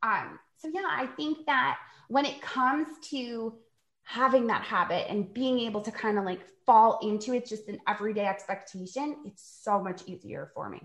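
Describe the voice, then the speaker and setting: A woman in her forties chats casually, spinning everyday stories in a slightly high voice. The sound comes from an echoey room. She keeps it loose and conversational. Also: moderate pace, fairly bright tone. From New York. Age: 40s. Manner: chatty storytelling, laughs while acting out quotes. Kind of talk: casual podcast chat.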